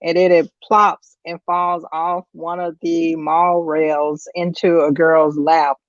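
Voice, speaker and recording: A woman's voice: sits low, flat delivery, slow, no echo. Chatty woman guest, dry lo-fi. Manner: chatty retelling with many fillers like "like". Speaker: podcast guest reviewer. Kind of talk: casual chat.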